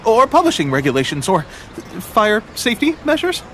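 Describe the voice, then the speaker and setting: A man's voice: sits high, huge pitch swings, moderate pace, a bit bright, slight background noise, exaggerated voice. A man voicing a flustered, deferential publishing house clerk in a video game, stammering nervously over background music. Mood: nervous, puzzled. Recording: clear music behind the voice.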